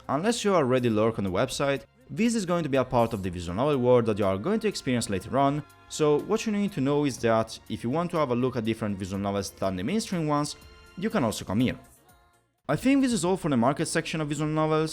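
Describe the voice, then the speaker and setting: A man narrating a scripted explainer video in an emphatic, conversational way, posing rhetorical questions as he goes. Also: medium pitch. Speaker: YouTube video essayist and presenter.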